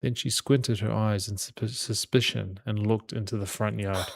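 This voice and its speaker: A man's voice: fairly low pitch, fairly even intonation, normal speed, gravelly. A man reading a visual novel aloud as dramatic narration, with small stumbles here and there. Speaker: gaming channel host reading the game aloud. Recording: music behind the voice. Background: game music.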